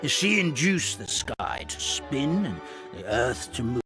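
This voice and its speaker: A man's voice: medium pitch, very animated, slow pace, over music. An actor playing a chubby, forgetful old male paper hamster who chuckles and sighs as he rambles through the forest's history. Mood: storytelling. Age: older. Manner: doddering, chuckling, sighing storyteller.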